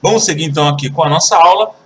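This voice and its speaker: A Brazilian man with a fairly high voice teaches an English lesson, repeating each example slowly and carefully. He sits a little back from the mic, and faint noise hums behind him. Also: far from the mic. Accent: Brazilian Portuguese. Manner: slow, careful repetition of example sentences.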